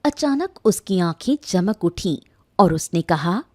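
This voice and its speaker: A woman's voice: on the low side, big pitch swings, slow pace, dark tone, no room sound, studio quality. A woman giving scripted narration for a cartoon story.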